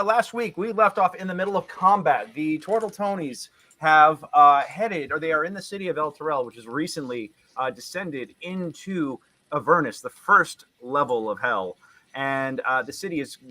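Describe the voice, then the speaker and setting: A man hosting a tabletop game as game master, narrating in a halting way that often backtracks. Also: medium pitch, sharp tone, no echo. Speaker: game master and stream host.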